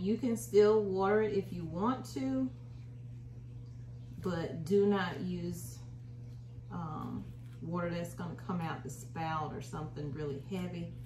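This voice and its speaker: A woman's voice: fairly low pitch, dark tone. An American woman giving a casual, folksy how-to demonstration as the host of a home gardening video. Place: small indoor room at home. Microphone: camera a short distance away. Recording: roomy, distant mic, faint noise.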